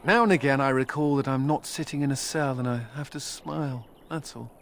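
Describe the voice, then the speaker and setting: An actor playing a sardonic escaped mage in a video game, glib and self-amused with a bitter edge. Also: male voice, mid-range voice, steady tone, fairly quick, slight background noise, lightly acted. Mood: wistful, flippant. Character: wry male mage and escaped prisoner, playful yet bitter. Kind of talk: video game character dialogue.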